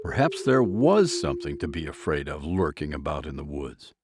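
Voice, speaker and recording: A man's voice: rumbling low, sing-song, moderate pace, noisy background. A man reading scripted story narration for an audio drama.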